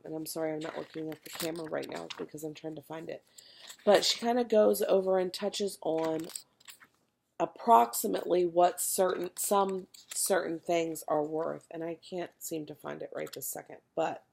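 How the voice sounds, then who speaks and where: Woman, casual fitness coach, hoarse and gravelly, chatty with fillers, low-ish voice. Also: a little mellow. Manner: chatty, many fillers and restarts. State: losing her voice, cracking. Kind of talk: casual video talk to a group.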